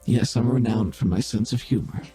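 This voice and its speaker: A man voicing a cool, calculating female AI hologram who deals in information, speaking in a dry, measured way. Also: mid-range voice, dark tone, faint music behind, lightly acted.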